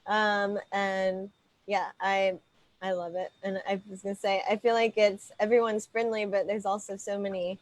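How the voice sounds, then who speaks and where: A woman's voice: medium pitch, level tone, normal speed, no echo. A young woman from Indiana hosting a casual video-call chat, friendly and giggly, with many affirmations. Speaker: host.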